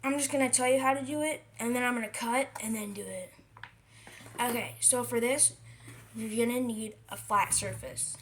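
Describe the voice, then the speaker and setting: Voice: medium pitch, fairly bright tone, slight background noise. A video creator giving a casual how-to craft tutorial, rambling, correcting themselves and repeating points for emphasis. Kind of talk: casual DIY how-to vlog talk.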